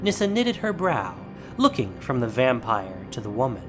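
A man giving steady, solemn scripted narration of a fantasy story. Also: medium pitch, moderate pace, over music.

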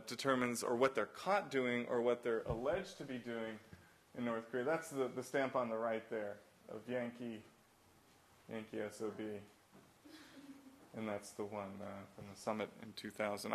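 A man's voice: mid-range voice, fairly bright tone. A man giving an anecdotal symposium talk with slides as a nonprofit director, loose and full of fillers and restarts. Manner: acts out quoted exchanges in raised voices. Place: college symposium room.

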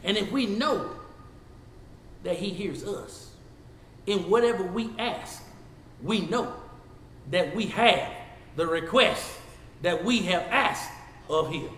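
A man in his fifties with a Southern US accent teaching a Bible study as pastor. He swings from shouting to low talk, recorded in a church sanctuary on a lapel mic. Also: takes it slowly, pauses often, roomy sound, in a large hall, slight background noise. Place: church sanctuary, seated before a projection screen.

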